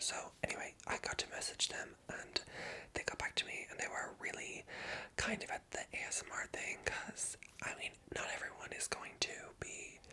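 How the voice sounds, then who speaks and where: Hushed whispering ASMR chatter. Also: low-quality recording. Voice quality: soft, unvoiced whisper. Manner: rambling, chatty, addresses viewers directly. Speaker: ASMR video creator and storyteller. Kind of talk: ASMR whispered casual chat.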